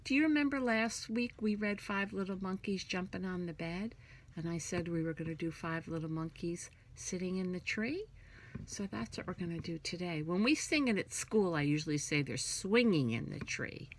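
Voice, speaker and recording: A woman reading a picture book aloud to young children in a theatrical, singsong manner, dropping into hushed whispers. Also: fairly low pitch, lively intonation, moderate pace.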